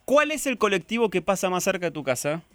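A man's voice: sits high, very animated, music in the background. A man with an Argentine accent hosting a radio show, bantering and teasing a caller in casual chat. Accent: Argentine Spanish. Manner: bantering, teasing, quick jokes. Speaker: radio host.

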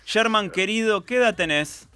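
A man's voice: sits high, crowd noise. An Argentine man hosting a radio show, teasing a caller in playful, theatrical banter. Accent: Argentine Spanish. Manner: teasing, theatrical, quick to react. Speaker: radio host.

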